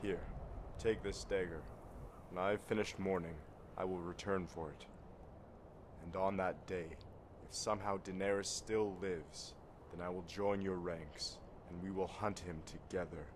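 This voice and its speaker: A man's voice: sits low, steady tone, unhurried, background noise. A man playing a grave, honour-bound warrior in a fantasy video game, speaking solemnly and formally. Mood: grieving, solemn. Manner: solemn, formal, archaic phrasing.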